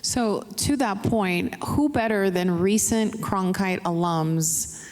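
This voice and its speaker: A woman's voice: medium pitch, takes it slowly, a little mellow. A woman moderating a panel before an audience, explaining things and addressing the listeners directly. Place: large hall with an audience. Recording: echoey hall with long reverb.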